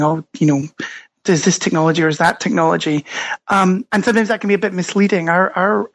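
A Scottish man being interviewed on a podcast, explaining his research thoughtfully with many fillers and restarts. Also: high-pitched. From Scotland. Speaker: guest author and researcher.

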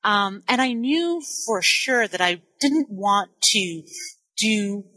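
A woman historian in her forties, born in Nigeria and a native Igbo speaker, tells her story in a measured, thoughtful way. She corrects herself now and then as she goes. Her voice sits in the middle range and sounds close and dry, with no room around it. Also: mid-range voice, lively intonation, sharp tone, no room sound. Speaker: historian and author. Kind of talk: interview, personal account.

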